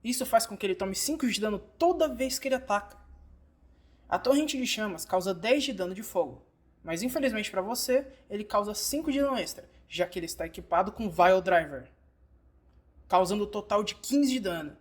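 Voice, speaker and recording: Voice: mid-range voice, lively intonation, a little fast. A narrator with a Brazilian Portuguese accent, reading a scripted game tutorial and instructively addressing the learner directly.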